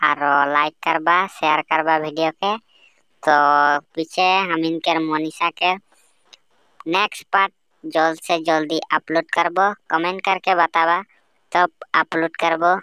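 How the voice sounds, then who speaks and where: A man's voice: fairly high pitch, bright, forceful tone, clear, resonant tone, no room sound. A man closing his cartoon channel's video, asking viewers to like, share and subscribe. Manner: friendly, direct asking to subscribe. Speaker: channel creator. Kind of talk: channel outro appeal.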